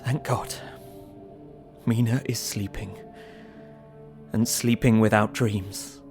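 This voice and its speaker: A man's voice: fairly low pitch, fairly even intonation, slow, a little mellow, faint music behind. A man playing a tormented, devoted young Victorian husband, earnestly reading his journal in an audio drama. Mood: tender, anxious. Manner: earnest, brooding.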